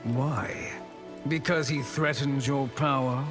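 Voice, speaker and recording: An actor playing an unrepentant, power-hungry villain in a fighting video game, mocking and arrogant. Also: male voice, medium pitch, fairly even intonation, slow pace, over music, close to an everyday voice. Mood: taunting.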